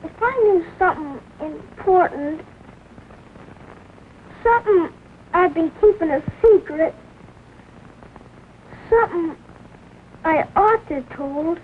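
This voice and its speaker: A young male actor playing an anxious, guilty small-town boy, speaking hesitantly in a 1940s film style. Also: very high-pitched. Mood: worried, guilty. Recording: tinny, noisy old 1940 film soundtrack.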